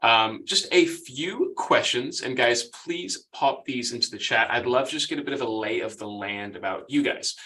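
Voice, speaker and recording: A man's voice: mid-range voice, moderate pace, few pauses, pushed and bright, low-quality recording. A young man with an American accent giving a chatty, upbeat webinar presentation as the guest speaker. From United States. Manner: upbeat, chatty, laughs often.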